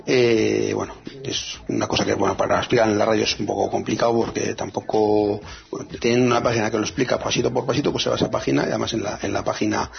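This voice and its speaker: A man co-hosting a radio show about free software, talking in a hesitant, casual way with many fillers and restarts. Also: on the low side, fairly even intonation, quick pace, creaky, muffled recording.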